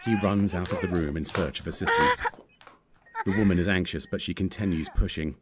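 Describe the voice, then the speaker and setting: A man's voice: deep voice, fairly quick, heavy background noise. A man narrating a screenplay, reading out scripted scene descriptions.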